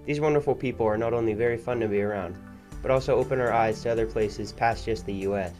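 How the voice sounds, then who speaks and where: A man's voice: medium pitch, darkish tone, soft background music. A teenage boy, a student reporter, reading a news segment and asking interview questions. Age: teens. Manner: brisk, read-aloud. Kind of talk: scripted news narration.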